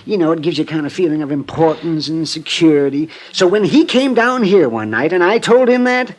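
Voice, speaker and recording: An actor playing a genial, naive, tipsy old Bowery drifter in an old-time radio drama, rambling and stammering with a childlike chuckle. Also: male voice, a little high, expressive, brisk, close to an everyday voice. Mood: rambling, admiring.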